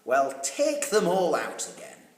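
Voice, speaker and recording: A storyteller voicing a wise old man from a storybook, musing in drawn-out sounds before giving calm advice. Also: medium pitch, distant mic. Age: elderly. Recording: echoey, reverberant room.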